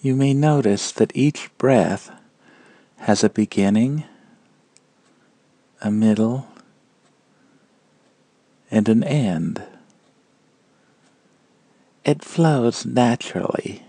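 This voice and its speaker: A man guiding a meditation in a calm, measured and gentle manner, leaving long pauses between phrases. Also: medium pitch, slightly rough, dry recording. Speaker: meditation guide. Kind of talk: guided meditation narration.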